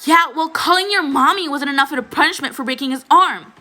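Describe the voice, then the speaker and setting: A woman's voice: high voice, fast talker, bright, forceful tone, faint music behind, voice pushed up. A woman voicing an indignant, confrontational teenage girl who argues in an accusing, insistent way, as in an audiobook drama. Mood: heated.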